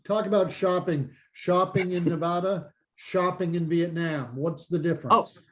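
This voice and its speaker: A man co-hosting a podcast and interviewing a guest. His voice sits a little higher than average. He asks his questions in halting fits and restarts them often. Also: fairly even intonation, slight background noise. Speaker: podcast co-host.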